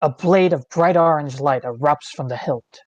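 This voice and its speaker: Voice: medium pitch, moderate pace, darkish tone, no echo, muffled recording. Dry-sounding narrator reading clipped stage directions. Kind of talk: scripted screenplay narration. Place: online meeting, close dry mic.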